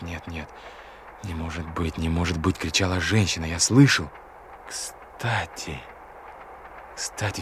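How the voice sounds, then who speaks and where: An actor playing an anxious, self-doubting young gentleman in a detective audio play, stammering, muttering and repeating himself. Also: sits low, noisy background. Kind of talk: audio drama acting.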